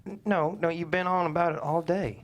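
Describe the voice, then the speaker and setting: A man's voice: fairly high pitch, steady tone, a little fast, close to an everyday voice. Actor as flustered married man, tipsy, stammering, easily embarrassed, table-read drama, background room noise. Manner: hesitant, stammering.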